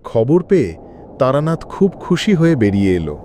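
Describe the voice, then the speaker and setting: A man narrating a scripted Bengali ghost story in an expressive, dramatic storytelling manner. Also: medium pitch, normal speed, a little hiss or hum.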